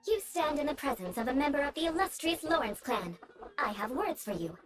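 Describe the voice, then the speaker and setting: Haughty, high-voiced young noblewoman. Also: lively intonation, a little fast. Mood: imperious. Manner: formal, imperious, lecturing, ornate phrasing. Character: haughty young noblewoman, prim, vengeful, awkwardly grandiose. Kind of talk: video game voice acting. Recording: game music behind the voice.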